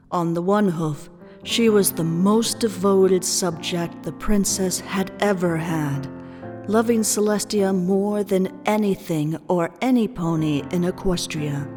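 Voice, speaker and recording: A woman reads scripted fiction as narrator, very unhurried and measured. Her voice is soft-edged and dark, carried in a long reverb with music underneath. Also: lowish voice.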